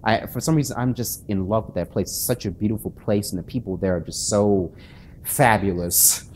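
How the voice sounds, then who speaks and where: Deep-voiced man, halting and nervous. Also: a little mellow, slight background noise. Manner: halting, many restarts and fillers; nervous, self-conscious. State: late-night, sleepless. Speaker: author and channel host. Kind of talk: casual talk to camera.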